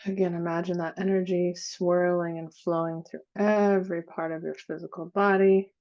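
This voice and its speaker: Female meditation guide. Lowish, dark and soft-toned, unhurried and soothing, leaves long gaps. Slightly dull recording. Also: little pitch movement. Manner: slow, soothing, with long pauses.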